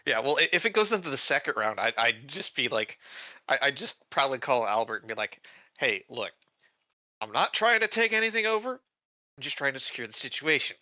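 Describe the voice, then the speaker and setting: A man chatting casually as a player in a tabletop game, phrasing things in a halting, tentative way. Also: medium pitch, very animated, sharp tone, dry recording, muffled recording.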